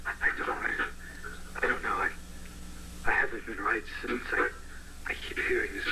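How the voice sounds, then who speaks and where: A man playing a troubled archaeologist who gives grave, halting testimony in an analog horror tape. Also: fairly low pitch, sing-song. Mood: haunted, shaken. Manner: halting, stammering in places. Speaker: archaeologist witness in a horror series. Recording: very noisy, degraded vintage tape sound.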